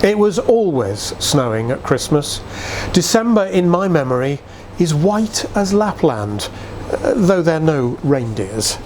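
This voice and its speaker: A man reads a nostalgic literary tale as a dramatic storyteller. His voice sits a little high and swings expressively through the phrases, at a leisurely pace. There is faint noise behind him. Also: sing-song, a little slow, a little mellow. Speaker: reader.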